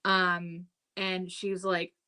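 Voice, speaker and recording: A woman in her thirties chatting loosely on a podcast, her voice bright and forward. She rambles through her stories, filling gaps with likes and ums. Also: on the low side, monotone, takes it slowly, smooth. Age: 30s. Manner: chatty, storytelling with lots of "like" and "um". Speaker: podcast co-host. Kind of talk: casual chat.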